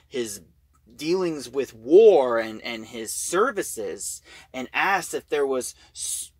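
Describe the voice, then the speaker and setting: A reviewer talking casually through a plot, halting and repeating phrases. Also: medium pitch, dry recording.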